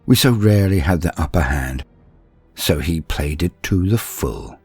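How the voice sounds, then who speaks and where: A man narrating a scripted dramatic story in the first person, measured and pausing mid-sentence. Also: deep voice, animated, darkish tone, breathy edge, faint music behind.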